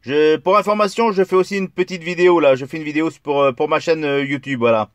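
A man's voice: a little high, fast, dry recording. A man making a casual radio contact as a CB operator, filming his own video.

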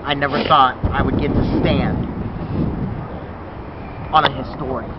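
A man's voice: medium pitch, steady tone, a little slow, highs cut off. A young man talking casually and emphatically, swearing now and then, filmed outdoors on a ballpark field by a friend's camera. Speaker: vlog host. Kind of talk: casual chat. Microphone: handheld camera held by friend. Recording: noisy outdoor camera audio.

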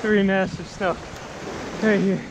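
A man's voice: high voice, takes it slowly. A young man in his twenties narrating his fishing trip on an ocean beach, talking into an action camera, with surf and wind behind him. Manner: excitable, shouting when hooking fish. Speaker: fishing vlogger. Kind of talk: excited fishing vlog commentary. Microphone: action camera, head-mounted then handheld.

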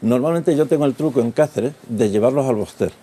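An older man speaks in a husky, mellow voice on a TV panel. He makes his points emphatically, pressing on. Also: mid-range voice, fairly quick. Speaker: advertising executive guest. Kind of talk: studio panel discussion. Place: TV studio set. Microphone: lapel mic.

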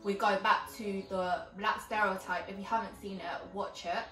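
A woman's voice: medium pitch, steady tone, moderate pace, fairly bright tone. Young woman from vietnam chatting casually, lots of ums, echoey room, mic kinda far. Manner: conversational, many fillers like "um" and "like". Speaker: co-host. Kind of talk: casual podcast chat. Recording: roomy sound, mic at a distance, faint music.

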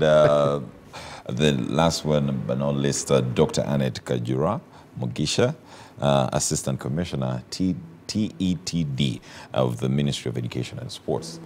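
A man hosting a morning TV talk show, prompting guests in a relaxed way and laughing often. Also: a bass voice, unhurried, slight background noise. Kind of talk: morning TV interview. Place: TV studio set.

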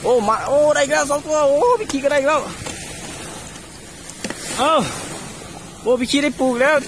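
A man hosting a casual vlog on a beach, giving animated, exclamatory play-along commentary. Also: extremely high pitch, moderate pace. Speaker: vlog host. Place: outdoors on a sandy seashore. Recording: noisy outdoor recording.

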